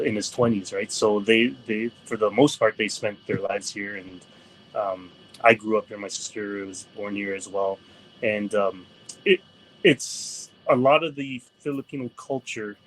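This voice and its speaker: A man's voice: on the low side, fairly bright tone, a little hiss or hum, poor audio. A man who grew up near Toronto, speaking as a podcast guest in a hesitant, thoughtful way, with many fillers. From Toronto area, Canada. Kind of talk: casual conversation.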